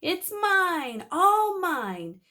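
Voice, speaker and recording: A woman voicing a menacing mummy man who gloats with a slow, drawn-out evil laugh. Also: extremely high pitch, very animated, sharp tone, pitched above the performer's own voice, heavily acted. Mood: gloating.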